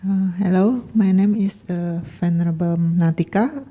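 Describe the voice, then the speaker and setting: A novice Buddhist nun from Indonesia, introducing herself in a halting, shy way. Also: female voice, on the low side, mellow, a little hiss or hum. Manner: halting, shy, with many uhs.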